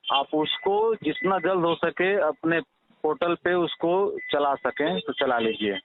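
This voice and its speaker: A man's voice: a little high, unhurried, noisy background. A man with a Bihari Hindi accent, speaking earnestly and persuasively in a casual, respectful phone conversation. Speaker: father appealing to a reporter. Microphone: telephone. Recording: tinny, narrow-band phone call recording.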